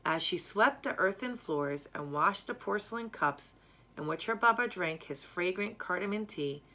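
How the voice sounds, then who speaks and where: A woman reading a children's story aloud in a measured, careful, expressive way. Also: lowish voice. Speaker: storyteller reading a picture book.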